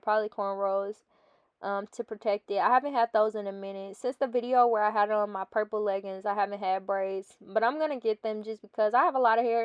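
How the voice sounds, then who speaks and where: A young woman talks in a loose, chatty voice-over, her nose audibly stuffed up. Her voice stays smooth and clear despite the congestion. It is recorded dry and close, with a slightly dull, muffled top end. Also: mid-range voice, no room sound. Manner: chatty, laughing, talks to viewers as "guys". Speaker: fitness vlogger. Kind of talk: casual voice-over chat.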